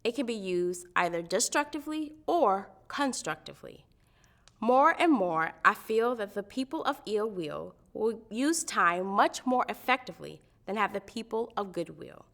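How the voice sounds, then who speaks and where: A woman's voice: mid-range voice, lively intonation, normal speed, creaky, a bit bright. A woman reading a historic letter aloud with earnest, emphatic, oratorical phrasing. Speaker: reader at a commemorative public reading.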